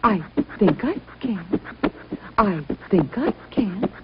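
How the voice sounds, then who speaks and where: A woman's voice: medium pitch, lively intonation, slow pace, highs cut off, noisy background. Woman voicing a small plucky, lilting storybook engine. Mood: determined, straining. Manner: chanting, repetitive, puffing effort. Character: small plucky cheerful female steam engine, determined.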